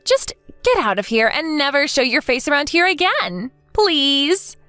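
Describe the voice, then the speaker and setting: A woman's voice: sits high, sing-song, a little slow, pushed and bright, no echo, faint music behind, heavily acted. Woman as gloating young mistress, sneering, condescending, swooping intonation, dry close sound, story drama. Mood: dismissive gloating. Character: smug, taunting young mistress sneering at her rival.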